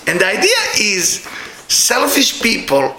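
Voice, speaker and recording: Man, Israeli accent, middle-aged, spiritual lecture, slightly high voice, echoey room. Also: unhurried, background noise. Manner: animated, leaps high to act out quoted people, non-native grammar. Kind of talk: spiritual lecture to a live audience.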